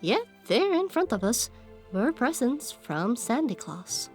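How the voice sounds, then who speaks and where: A high-voiced woman narrates a dramatic first-person story. She takes her time and is openly emotive, with light music playing underneath. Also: slow pace. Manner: expressive, emotive. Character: young pony heroine, emotional and earnest. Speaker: audiobook narrator.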